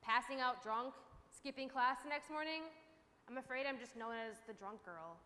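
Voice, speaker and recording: A woman's voice: high voice, moderate pace, bright, forceful tone. A young woman playing a regretful college student recounting her experiences in a staged monologue. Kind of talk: staged readers theater monologue. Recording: echoey hall, faint room noise.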